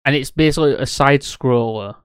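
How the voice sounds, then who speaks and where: A man's voice: medium pitch, a little fast, clean tone, dry recording, studio quality. A man co-hosting a podcast in casual chat, blunt and sweary, given to exclaiming. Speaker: podcast co-host.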